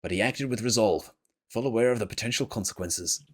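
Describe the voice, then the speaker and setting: A man voicing a solemn, learned fantasy game scholar in a formal, measured, grave manner. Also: lowish voice, pitched above the performer's own voice, natural voice. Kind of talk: reading fantasy game dialogue aloud. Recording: game music behind the voice.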